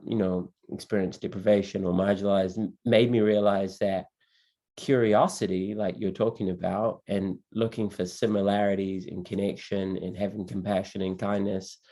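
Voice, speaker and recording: A man's voice: low-pitched, dark tone. A man hosting a podcast interview, reflective and thoughtful, asking long winding questions. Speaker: podcast host. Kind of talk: conversational interview.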